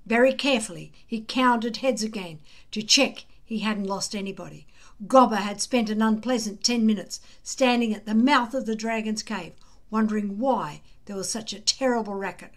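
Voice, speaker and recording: Slow, high-voiced woman reading a story. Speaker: storybook reader. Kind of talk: expressive children's story read-aloud.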